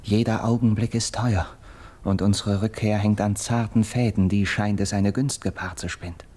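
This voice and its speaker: A man's voice: on the low side, fairly even intonation, a little slow, a little mellow. An actor playing a steadfast, loyal warrior friend in a classical radio verse drama, earnest and urgent in his declamation.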